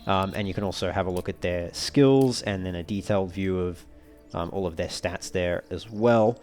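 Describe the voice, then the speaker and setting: A man giving casual let's play commentary as a walkthrough host, explaining things in a measured, wordy, slightly formal way. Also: sits low, darkish tone, soft background music.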